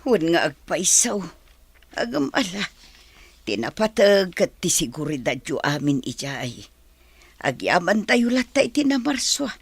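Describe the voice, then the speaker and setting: A woman playing an anxious, devout old mother in a radio drama, with a husky voice. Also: fairly low pitch, sing-song, measured pace, no echo, exaggerated voice. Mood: anxious relief. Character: anxious, devout old village mother fussing over her son.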